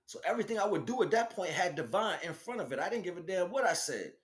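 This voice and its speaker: A man's voice: sits high, lively intonation, fast, roomy sound. An American man giving an informal spiritual monologue in streetwise slang, speaking emphatically and with repetition. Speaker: spiritual teacher and stream host.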